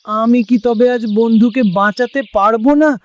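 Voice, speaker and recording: A man's voice: very high voice, steady tone, normal speed, highs cut off. A man playing a loyal, pleading village man full of self-doubt in a cartoon drama. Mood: frantic, frustrated. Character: Deben, anguished loyal village man searching a forest. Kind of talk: Bengali cartoon drama acting.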